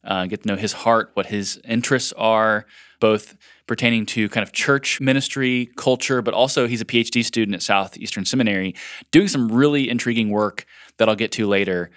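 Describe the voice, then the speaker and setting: A man's voice: fairly low pitch, a little fast, a bit bright, no room sound, clean studio sound. A man hosting a podcast in a conversational interview style. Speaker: podcast host.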